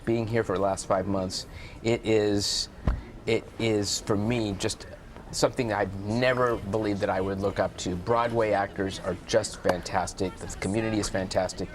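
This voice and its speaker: Male interpreter, deep voice, voices the guest in first person, TV studio, faint hiss. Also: steady tone, moderate pace. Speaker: sign language interpreter voicing a guest. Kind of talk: live TV interview, speaking as interpreter.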